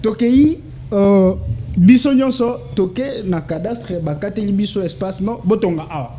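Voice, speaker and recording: Congolese guy, high dark voice, keeps asking rhetorical qs, faint background noise. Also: measured pace. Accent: Congolese French. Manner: insistent, rhetorical questions, repeated stammered syllables. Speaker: political analyst guest. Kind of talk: interview answers, mixing French and Lingala.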